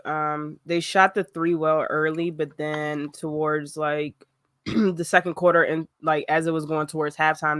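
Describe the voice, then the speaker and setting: A woman's voice: low-pitched, no echo, studio quality. A woman with a cold, chatting casually about basketball on a podcast and laughing easily. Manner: laughs easily, relaxed and conversational. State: sick with a cold, voice rough. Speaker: podcast co-host.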